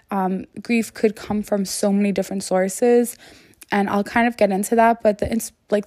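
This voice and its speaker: A woman hosts a solo podcast in a casual, chatty way. Her mid-pitched voice is still recovering from an illness, and she speaks earnestly. The sound is close and dry, with no room echo. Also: darkish tone. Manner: conversational, frequent "like" and "you know". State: voice not fully back after illness. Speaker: podcast host.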